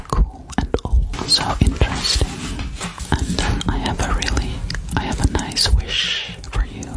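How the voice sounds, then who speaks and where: Whispering ASMR woman, slow delivery. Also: rough recording. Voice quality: breathy, unvoiced whisper. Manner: slow, repeats phrases softly. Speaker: ASMR channel host. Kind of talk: ASMR whispered personal attention role-play. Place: small room at home, indoors. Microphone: close desktop condenser microphone.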